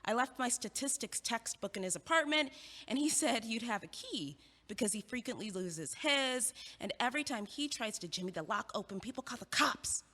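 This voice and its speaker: An actress playing a friendly young college student who teases and talks in a lively way, recorded in a theater. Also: female voice, on the high side. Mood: teasing. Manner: lively, teasing, quick to laugh. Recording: reverberant theater stage.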